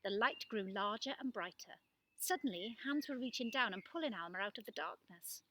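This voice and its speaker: A woman giving an expressive, animated reading of a children's story. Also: medium pitch, moderate pace, a little hiss or hum. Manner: animated delivery with acted-out dialogue. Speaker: storybook reader.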